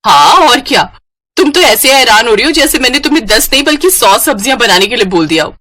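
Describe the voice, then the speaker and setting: A woman's voice: fairly high pitch, animated, brisk, bright, forceful tone. A woman voicing a bossy, demanding young in-law who smugly lays down rules in a cartoon story. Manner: pointed, instructing. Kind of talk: Hindi moral-story cartoon voice acting.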